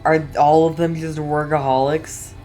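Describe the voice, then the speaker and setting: A woman's voice: low voice, level tone, measured pace, mellow, faint music behind. A woman giving casual gameplay commentary as a streamer, rambling and questioning herself as she goes. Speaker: game streamer. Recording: clearly noisy background.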